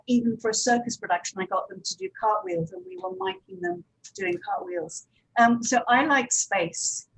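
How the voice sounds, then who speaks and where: A woman's voice: on the high side, a bit bright, husky, low-quality recording. A woman producer and director talking informally on a panel, in a conversational, hedging way.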